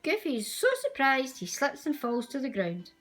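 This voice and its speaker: A woman reading a children's storybook aloud as a storyteller, with expressive, deliberate delivery. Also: sits high, soft background music. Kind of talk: scripted storybook narration for young children.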